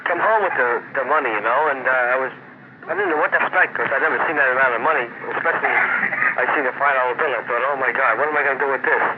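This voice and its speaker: A man giving an informal, halting, colloquial account in a radio interview. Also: mid-range voice. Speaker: interviewee in radio news clip. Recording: tinny, very noisy interview tape.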